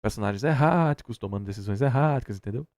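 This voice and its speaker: A man's voice: medium pitch, expressive, quick pace, a little mellow, dry recording, clean studio sound. A Brazilian man giving casual, opinionated commentary in an emphatic, slangy way, as a reaction host. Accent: Brazilian Portuguese.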